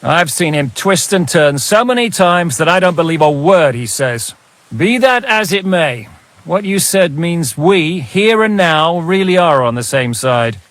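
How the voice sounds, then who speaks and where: High-voiced, battle-hardened mercenary captain. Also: male voice, lively intonation, a little slow, a bit bright. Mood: measured, grim. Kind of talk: dramatic game dialogue.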